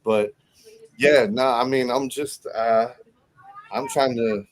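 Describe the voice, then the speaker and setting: A man's voice: mid-range voice, fairly even intonation, takes it slowly. A man in his thirties, a guest on a casual podcast. He chats loosely, laughs often and stumbles over repeated words. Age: 30s. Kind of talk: casual chat.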